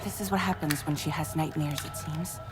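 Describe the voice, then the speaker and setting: An actress as a composed, lowish-voiced caring woman. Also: fairly even intonation, brisk, loud music behind the voice, lightly acted. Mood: earnest, composed. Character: composed, caring woman, earnest team member. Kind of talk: video game cutscene acting.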